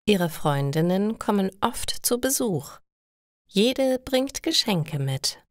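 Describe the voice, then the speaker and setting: Lady reading easy stories for learners, kinda slow n careful, dry close sound. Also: mid-range voice, very animated, no room sound. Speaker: narrator of German learner stories. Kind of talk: slow scripted storytelling for language learners.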